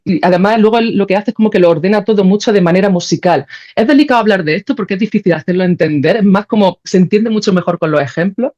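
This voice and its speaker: A woman with a Castilian accent talks quickly in a casual, animated way. She explains her ideas colloquially, checking that the listener follows. The recording sounds slightly muffled. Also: medium pitch. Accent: Spain. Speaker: podcast guest, sound artist.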